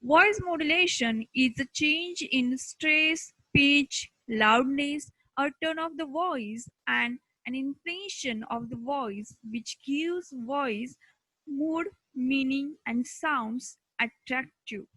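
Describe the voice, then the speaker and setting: A woman teacher with an Indian accent giving an instructional lecture in short, deliberate, emphatic phrases. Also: high voice, bright, forceful tone, no room sound, poor audio.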